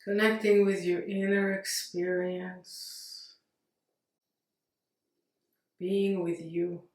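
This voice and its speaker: A woman leading a guided meditation in a gentle, unhurried manner, leaving long pauses. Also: on the low side, level tone. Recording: roomy echo, distant mic.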